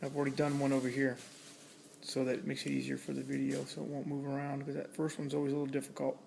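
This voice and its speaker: A man's voice: medium pitch, completely flat delivery, quick pace, vocal fry, darkish tone, a little hiss or hum. A man giving a casual hands-on tutorial, talking plainly step by step as he works at a repair task. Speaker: electronics hobbyist demonstrating a repair.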